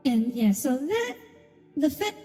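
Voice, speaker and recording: A woman's voice: high voice, sing-song, slow, warm tone, big-room sound. A woman giving casual commentary as she streams a video game. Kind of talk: casual gaming commentary, reading dialogue aloud. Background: video game music.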